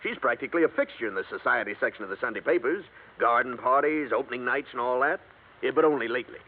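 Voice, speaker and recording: A man's voice: medium pitch, expressive, fast, phone-quality sound. A man with an American accent playing a wisecracking, gossipy insurance man in an old radio drama, telling things in colorful slang. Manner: jokey, colorful, slangy storytelling. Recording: thin, band-limited vintage radio recording.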